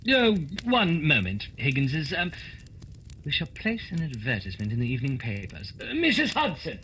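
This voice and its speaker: A man playing a coolly superior gentleman detective in a radio play, precise, theatrical and wry. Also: fairly high pitch, huge pitch swings, normal speed, slight background noise, full character voice, rough recording. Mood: brisk. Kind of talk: holiday radio play acting.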